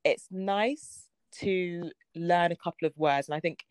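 A woman's voice: lowish voice, measured pace, clear, resonant tone, no echo. A young woman guest telling travel stories in casual chat, laughing often. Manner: laughs often, chatty storytelling.

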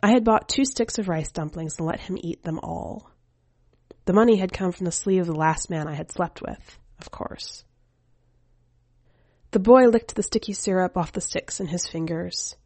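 A woman's voice: fairly low pitch, normal speed, warm tone, dry recording. A woman reading a scripted first-person short story as a podcast narrator. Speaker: podcast editor and story reader.

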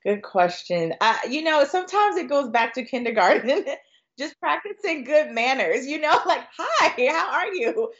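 A woman's voice: high-pitched, sing-song, a little slow, a bit bright, highs cut off. An American woman answering conversationally as an expert guest on a podcast, chatty and inclined to act out short quotes. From Florida, United States.

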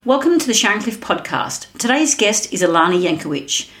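A woman's voice: medium pitch, very animated, a little fast, a bit bright, echoey room, faint music behind. Woman hosting an interview podcast.

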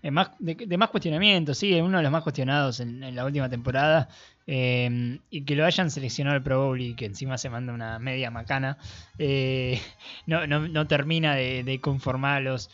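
Argentine male co-host, mid voice, halting reflective delivery; lo-fi audio, faint music bed. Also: mid-range voice, fairly even intonation, a bit bright. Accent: Argentine Spanish. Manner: thinks aloud with fillers and restarts. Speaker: podcast co-host. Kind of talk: casual sports opinion chat.

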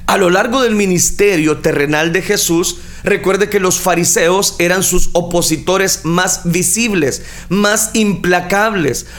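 A man preaching a sermon, speaking earnestly and addressing the listeners directly, with frequent pauses and fillers. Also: high-pitched, fairly bright tone. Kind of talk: sermon on scripture.

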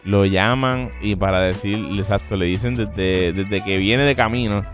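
A man with a Puerto Rican accent co-hosting a casual sports podcast, teasing his partner and often repeating himself in quick denials. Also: fairly low pitch, fairly even intonation, over music. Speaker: podcast co-host.

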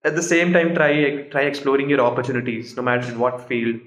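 A man's voice: mid-range voice, rapid-fire, a bit bright, echoey room. A young man from South India chatting as a podcast guest, talking freely with laughs and slipping into Telugu. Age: young adult. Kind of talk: casual chat.

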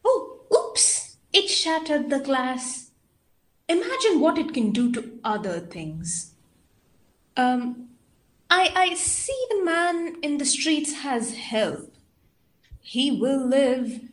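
A woman playing a playful, unhinged female scientist in an audio drama, with a theatrical, deliberate delivery. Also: sits high, very animated, full character voice. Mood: mock surprise. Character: playful unhinged young woman scientist wielding a tuning fork.

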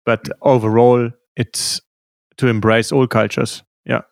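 A male interviewer with a gravelly edge to his mid-pitched voice, recorded close and dry. He asks long, winding questions in a thoughtful, conversational way. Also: flat delivery, unhurried, no echo. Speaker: interviewer, host.

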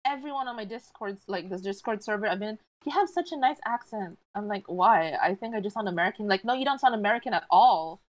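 A woman of middle pitch chats excitedly on a podcast, rushing through anecdotes with plenty of "like"s. Her voice sits close and dry on the mic. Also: no room sound, rough recording. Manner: excitable, animated storyteller, lots of "like". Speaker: podcast co-host. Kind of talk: casual chat.

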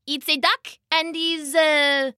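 A woman's voice: high voice, little pitch movement, takes it slowly, pushed and bright, dry recording, studio quality, voice pushed up, exaggerated voice. A woman voicing a nervous, well-buttoned royal page who hesitates and trails off. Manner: hesitant, stammering.

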